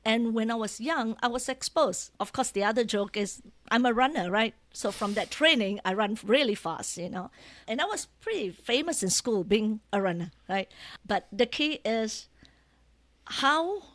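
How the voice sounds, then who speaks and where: A Malaysian woman with a Malaysian accent, whose first language is Malay, telling stories as an interview guest in a lively, chatty way, often adding tag questions. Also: a little high, moderate pace. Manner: animated, often ends phrases with tag questions. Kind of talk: casual storytelling interview.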